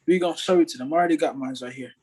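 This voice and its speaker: Young man with a high, husky voice. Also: racing, a little mellow. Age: young adult. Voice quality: husky, slightly squeaky voice. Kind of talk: casual chat.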